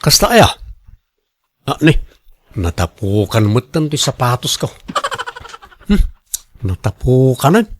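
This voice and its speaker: An actor with an Ilocano accent playing a boastful, defensive husband who loves showing off, in a radio drama. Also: male voice, medium pitch, big pitch swings, slow pace, long pauses. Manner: showy, bragging. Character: boastful, defensive husband and co-worker obsessed with costly branded clothes.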